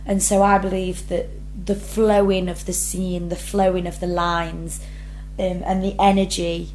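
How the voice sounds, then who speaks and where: A woman's voice: lowish voice, a little mellow, slight background noise, poor audio. A young woman drama student, talking earnestly through her rehearsal blog and reading each question aloud before answering. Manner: earnest, many ums, reads prompts then answers.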